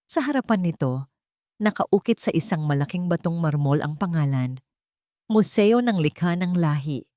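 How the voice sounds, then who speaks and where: A woman's voice: on the low side, expressive, moderate pace, warm tone, no room sound, clean studio sound. A woman reading a scripted dramatic story as its narrator.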